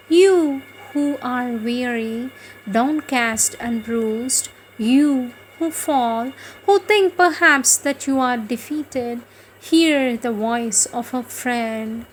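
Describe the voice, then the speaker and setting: A woman reads scripted devotional words in a fairly high voice at a slow pace. Her phrasing is solemn and deliberate. There is some faint noise behind her. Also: poor audio. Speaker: reader of devotional texts.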